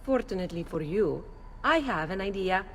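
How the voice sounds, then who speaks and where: An actress playing a calm, knowing courtesan madam in a video game, speaking. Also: female voice, mid-range voice, normal speed. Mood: scheming. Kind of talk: video game cutscene acting. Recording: reverberant room with faint noise.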